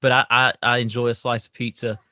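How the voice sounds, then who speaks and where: A man in his thirties co-hosting a comedy podcast, bantering casually with a dry, deadpan delivery. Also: mid-range voice, brisk, dry recording. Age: 30s.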